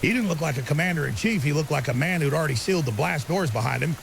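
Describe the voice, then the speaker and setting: A man's voice: on the high side, animated, quick pace, gravelly. A man playing a grim, urgent conspiracy theorist as a guest on an apocalypse podcast drama, emphatic and ominous in clipped, certain statements. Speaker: interview guest. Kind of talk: fictional apocalypse podcast interview. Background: rain and faint hiss.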